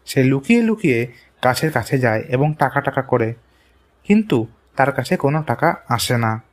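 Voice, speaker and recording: A man's voice: mid-range voice, big pitch swings, normal speed. A man narrating a scripted moral tale for a cartoon. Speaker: story narrator. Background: music.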